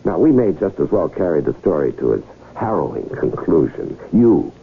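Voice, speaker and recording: An actor playing a smooth-talking, goading male swindler in 1970s radio drama style, rhetorical and needling, with dramatic pauses. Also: low voice, big pitch swings, a little hiss or hum. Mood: mocking, lecturing. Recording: muffled 1970s radio broadcast recording.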